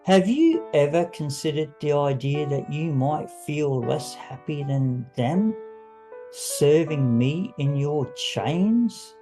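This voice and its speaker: Man acting a cold, imperious ruler, slow-spoken. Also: fairly high pitch, animated, warm tone, faint music behind. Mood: cold, severe. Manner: commanding, condescending. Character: cold, imperious senior ruler, male, cruel master.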